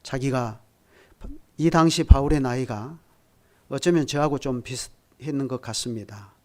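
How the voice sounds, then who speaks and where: A man in his sixties preaching a sermon at a midweek prayer service, measured in delivery and building to emphatic peaks while speaking directly to the congregation. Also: mid-range voice, a little mellow. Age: 60s. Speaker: pastor.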